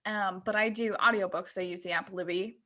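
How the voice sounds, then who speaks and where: A woman's voice: mid-range voice, steady tone, a little fast, bright, forceful tone. A woman co-hosting a podcast, telling an anecdote in casual conversation. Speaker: podcast co-host.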